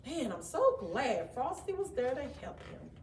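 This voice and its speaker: A woman reading a children's story aloud in an expressive, dramatic way, with asides to her young listeners. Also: sits high, measured pace. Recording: roomy, distant mic, faint background noise.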